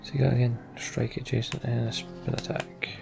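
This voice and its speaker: A man's voice: medium pitch, little pitch movement, fairly quick, vocal fry, over music. A man giving casual, informal gameplay commentary, half talking to himself with sweary, sardonic asides. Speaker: let's play host.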